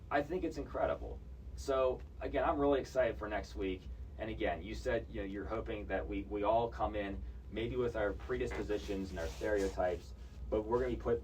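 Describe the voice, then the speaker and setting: A man's voice: on the low side, recorded from a distance, slight background noise, low-quality recording. A young man hosting a college radio podcast, talking in a rambling way with many restarts. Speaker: podcast host. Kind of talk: casual college radio chat. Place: college campus radio studio.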